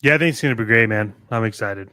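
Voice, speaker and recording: A man's voice: fairly low pitch, fast talker, pushed and bright, no echo, clean studio sound. A man co-hosting a podcast, chatting and promoting it in an informal, easygoing way. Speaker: podcast co-host. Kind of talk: casual promotional podcast chat.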